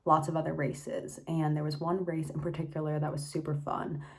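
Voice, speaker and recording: A teenage girl with a low voice, her throat a little scratchy and hoarse after cheering at races. She chats upbeat and rambling into her phone camera, filling gaps with "like". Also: little pitch movement, moderate pace, a little mellow. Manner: chatty, upbeat, lots of "like". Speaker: vlogger. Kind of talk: casual vlog talk to camera.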